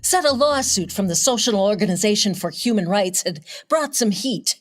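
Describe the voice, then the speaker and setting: A woman playing a sardonic, unflappable high-society newspaper reporter in an old-time detective radio play. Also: natural voice. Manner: dry, sardonic.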